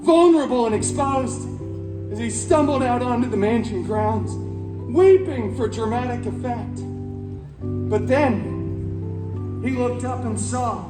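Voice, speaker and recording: A man playing a flamboyant, sarcastic antihero, telling a comic parody fairy tale to an audience with dramatic pauses. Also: very high voice. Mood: sarcastic, theatrical. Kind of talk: comic live storytelling to an audience. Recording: roomy, distant live event with music behind.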